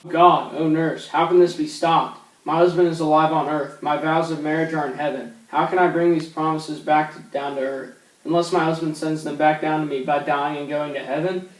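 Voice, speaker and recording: A man's voice: on the high side, steady tone, normal speed, close to an everyday voice. A man playing a desperate young girl. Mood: desperate pleading. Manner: pleading, emotional delivery. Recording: echoey room from far off.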